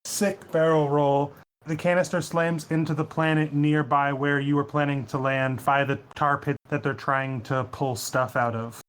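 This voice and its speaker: A man running a tabletop game as game master, narrating in a quick, casual, joking way. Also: fairly high pitch, flat delivery, a bit bright, smooth.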